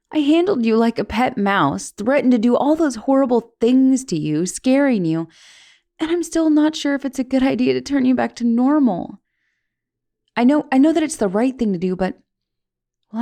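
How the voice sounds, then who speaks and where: Woman acting a creaky-voiced, flustered young giantess. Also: fairly high pitch. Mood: guilty, incredulous. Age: young adult. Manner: hesitant, stammering, slipping into soft whispers. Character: flustered, guilty, caring young giantess college student. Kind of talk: ASMR roleplay, intimate one-sided dialogue.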